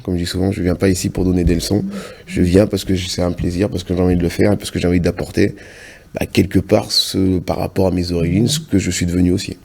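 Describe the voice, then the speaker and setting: Brief: French-raised man, low-pitched, halting and earnest studio guest. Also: little pitch movement, creaky, darkish tone, husky. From France. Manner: earnest, halting, restarts often. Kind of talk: casual TV interview answers. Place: TV studio set.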